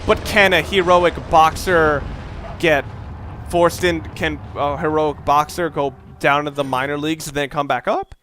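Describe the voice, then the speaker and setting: A man's voice: fairly high pitch, steady tone, unhurried, fairly bright tone, slight background noise. A young man giving casual, rambling, opinionated commentary while playing a video game, with the odd curse word. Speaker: let's play commentator.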